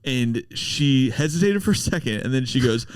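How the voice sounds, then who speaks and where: A man's voice: mid-range voice, lively intonation, moderate pace, smooth, dry recording. A man, a stand-up comedian, telling stories in a laid-back, swearing podcast chat with frequent laughs.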